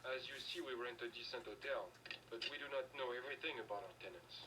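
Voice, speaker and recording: A man's voice: medium pitch, fast, recorded from a distance, close to an everyday voice. Curt hotel keeper played by a man, noisy capture. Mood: guarded, dismissive. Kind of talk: video game dialogue.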